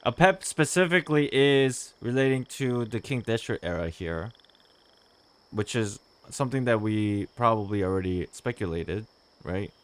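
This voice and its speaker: A man's voice: on the low side, lively intonation, normal speed, smooth. A man doing casual playthrough commentary as a streamer, reflective and theorizing aloud as he goes. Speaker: gaming streamer. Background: game music.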